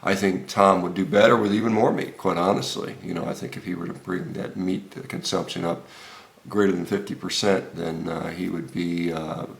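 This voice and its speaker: An American man in his fifties giving informal, unscripted video commentary as a health vlogger, halting often with hesitations and repeated words. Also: sits low, fairly even intonation, creaky. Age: 50s. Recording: roomy, echoey room sound.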